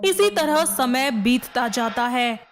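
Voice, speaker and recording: A woman narrator with a fairly high voice tells a moral story. Her delivery is slow and scripted, with clear music playing behind her. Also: lively intonation.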